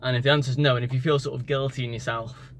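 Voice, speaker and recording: A man's voice: medium pitch, fairly even intonation, fast. A man, a native English speaker, talking casually in a vlog about learning languages, tired after the gym and rambling with many fillers and restarts. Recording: street and car noise behind.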